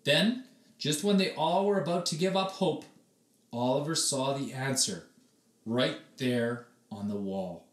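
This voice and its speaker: A man reading a children's story aloud expressively, with dramatic, deliberate pauses and emphasis. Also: mid-range voice, far from the mic. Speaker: storybook reader. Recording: echoey room, away from the mic.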